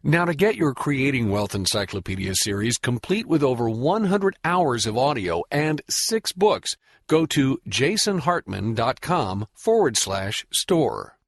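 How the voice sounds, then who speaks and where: A man reading a scripted promotion in a brisk sales delivery. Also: mid-range voice, big pitch swings, no echo, studio quality. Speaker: ad announcer. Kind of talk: scripted ad read.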